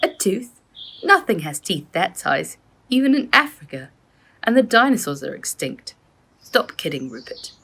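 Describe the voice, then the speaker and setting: A woman's voice: fairly low pitch, sing-song, unhurried, vocal fry, fairly bright tone, husky, voice pushed up, heavily acted. A woman voicing a levelheaded, bemused uncle in a children's storybook, with colloquial, questioning delivery. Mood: disbelieving.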